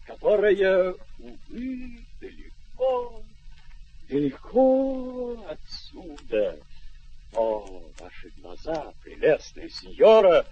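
An actor playing a lofty, dreamy old knight-errant in a radio play, husky, declaiming with courtly grandeur and dramatic pauses. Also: male voice, on the high side, a little mellow, exaggerated voice. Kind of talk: mid-century Russian radio theatre acting. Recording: dull, muffled vintage radio recording.

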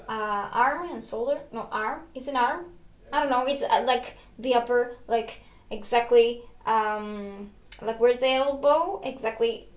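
Young woman, Greek accent, higher voice, rambling and giggly, echoey room, slightly muffled sound. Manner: rambling, upbeat, giggly, with many fillers. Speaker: podcast host. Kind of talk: casual solo podcast chat.

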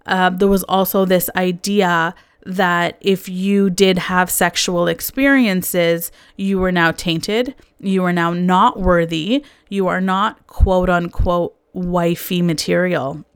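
Slow, smooth-voiced woman. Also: lowish voice, steady tone, no room sound, clean studio sound. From Canada. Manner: soft-spoken, earnest, candid, confessional. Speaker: podcast host. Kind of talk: personal reflective monologue.

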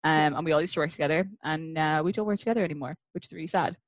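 An Irish woman guesting on a podcast, chatting in an animated, storytelling way with lots of filler words. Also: deep voice, racing, no room sound, studio quality. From Ireland. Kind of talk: casual chat.

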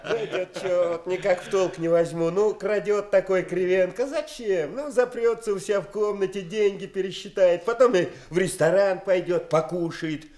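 A man's voice: high-pitched, normal speed, hardly any pauses, darkish tone, lightly acted. An actor playing a plain-spoken, good-natured man in a radio drama, musing in a folksy, colloquial way. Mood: amused, puzzled.

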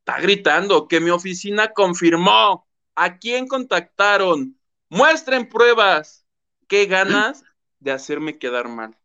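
A man's voice: high voice, lively intonation, slow pace, pushed and bright, smooth, dull audio. A man hosting a gossip show, telling the story animatedly and acting out quotes. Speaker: show host. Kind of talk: casual gossip commentary.

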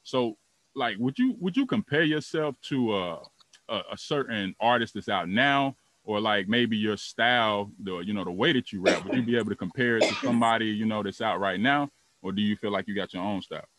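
A man's voice: on the low side, a little fast, a bit bright, a little hiss or hum, low-quality recording. A man in his thirties hosting a podcast, asking questions in a loose, rambling casual way with lots of fillers. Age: 30s. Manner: laid-back, loose and rambling with many fillers. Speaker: podcast host. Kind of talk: casual interview.

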